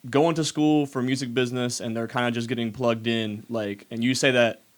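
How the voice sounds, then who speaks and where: A man's voice: mid-range voice, steady tone, a little fast. Young male co-host asking loose questions. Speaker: podcast co-host. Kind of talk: casual interview questions.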